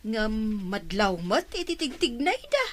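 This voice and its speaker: A nosy Ilocano relative in a radio drama. She questions slowly in a fairly high voice that rises and falls a lot. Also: wildly swooping pitch, heavily acted. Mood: prying. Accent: Filipino, Ilocano. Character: curious, prying female relative questioning a girl.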